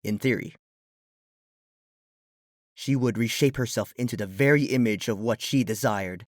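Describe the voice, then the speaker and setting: A man's voice: medium pitch, measured pace, no echo, clean studio sound. A man reading a fan fiction story as a scripted narrator. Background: background music.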